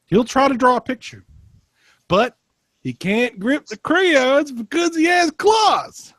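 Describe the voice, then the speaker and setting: A man reading as a slightly exasperated children's storybook narrator, in a sing-song, drawn-out delivery. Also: extremely high pitch, lively intonation, fairly bright tone, no room sound, clean studio sound, pitched above the performer's own voice, exaggerated voice. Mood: mock exasperation. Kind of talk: expressive children's picture book read-aloud.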